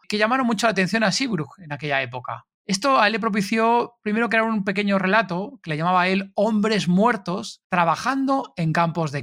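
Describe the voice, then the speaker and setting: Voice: medium pitch, fairly bright tone. A podcast host tells a long story in a conversational way and often ends sentences with a questioning "no?". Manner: explains at length, tags sentences with "no?".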